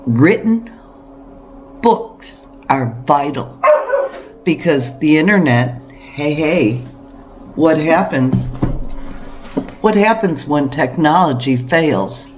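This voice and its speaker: A woman talking casually to camera about practical living as a homesteading vlogger, encouraging and emphatic, with deliberate pauses. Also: low voice, expressive, music in the background, rough recording. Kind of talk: casual preparedness talk to camera.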